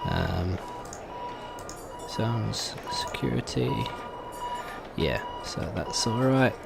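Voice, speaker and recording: A man's voice: lowish voice, very slow, creaky, over loud music. A man giving casual let's play commentary, thinking aloud in a hesitant way as he goes. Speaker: gaming video host.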